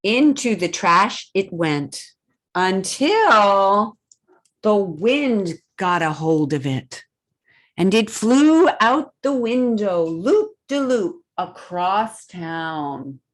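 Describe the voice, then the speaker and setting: A woman hosting a children's storytime, reading a picture book aloud expressively and pausing to put questions to young listeners. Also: mid-range voice. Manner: expressive, deliberate, simple phrasing with questions to children.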